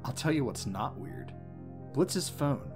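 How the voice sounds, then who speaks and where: A man's voice: mid-range voice, animated, slow pace, over music. A man co-hosting a scripted explanatory commentary on a cartoon. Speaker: co-host.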